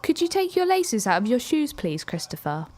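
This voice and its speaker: A woman plays a brisk police sergeant in a radio drama. She is polite and procedural, in a very high voice with lively, sing-song movement. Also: slight background noise. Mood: politely brisk.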